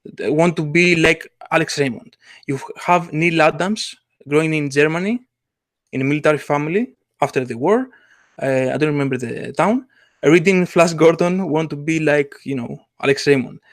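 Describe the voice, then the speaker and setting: A man co-hosting a casual sci-fi podcast, speaking haltingly with many fillers and clear enthusiasm. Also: a little high, no room sound, studio quality. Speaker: podcast co-host, comic artist. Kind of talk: casual chat.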